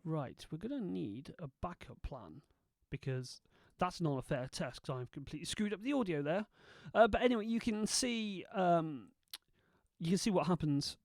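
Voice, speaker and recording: A man hosting a video, casually thinking aloud as he tests software and mutters asides to himself. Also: a little high, gravelly, no echo, studio quality. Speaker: video host testing software.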